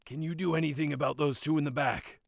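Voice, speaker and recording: A man playing a weary, beleaguered fighting cleric in a fantasy audio drama, with a creaky voice and dry delivery. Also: on the high side, steady tone, fast, no echo. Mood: exasperated.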